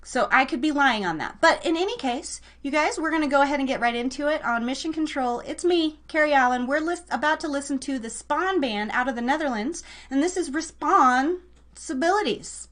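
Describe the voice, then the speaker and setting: A woman's voice: high voice, expressive, fairly quick, fairly bright tone. A woman hosting a live internet radio show in a casual, rambling, self-deprecating and enthusiastic way. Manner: enthusiastic, effusive.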